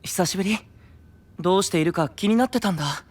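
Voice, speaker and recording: Enigmatic young male leader, played by a man: fairly high, soft, measured, idealistic anime knight. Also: background noise, lightly acted. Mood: gentle, composed. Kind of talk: anime-style voice drama acting.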